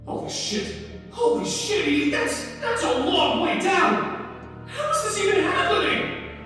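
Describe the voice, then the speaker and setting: A forceful, sharp-toned male criminal, played by an actor in a horror audio drama, his pitch swinging widely. He is anxious and sweary, jumpy in delivery, with faint music underneath. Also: sing-song, slow, exaggerated voice, rough recording. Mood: panicked. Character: Julian, anxious male killer, sweary, afraid of heights.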